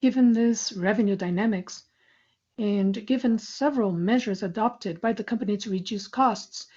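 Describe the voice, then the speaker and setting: Relaxed, mellow woman presenting on lo-fi audio. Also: mid-range voice, moderate pace, breathy edge. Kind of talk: scripted corporate financial presentation. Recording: muffled, lo-fi conference call audio.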